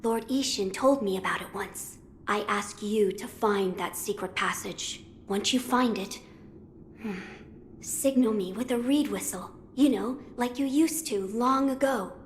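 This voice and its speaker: A gentle, formal young boy lord of a samurai game , voiced by a woman, speaks with calm, measured dignity. The voice rings in a roomy space over noticeable ambient noise. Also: medium pitch, natural voice. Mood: calm, resolved. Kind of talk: English-dubbed samurai game drama.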